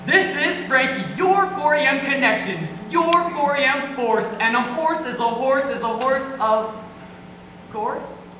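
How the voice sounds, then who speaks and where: A woman's voice: high voice, animated, a little slow, fairly bright tone. Woman acting a theatrical late-night radio DJ, very echoey. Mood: playful, theatrical. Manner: declaiming, rhythmic, rhyming patter. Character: theatrical, chatty female late-night radio DJ, playful and lonely. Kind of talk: amateur stage play monologue. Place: stage in a hall, recorded from afar. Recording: echoey hall, distant mic, noisy.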